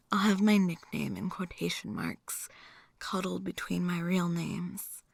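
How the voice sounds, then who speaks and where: A woman's voice: lowish voice, slow, creaky, no echo, heavily acted. A woman acting a chatty, philosophically morbid date in an intimate ASMR roleplay, musing and teasing as she rambles to the listener. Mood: soft, wistful.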